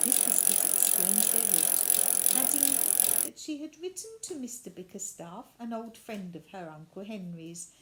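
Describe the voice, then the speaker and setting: A woman reading a children's storybook aloud as an expressive narrator. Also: medium pitch, rough recording. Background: added sound effects and faint noise.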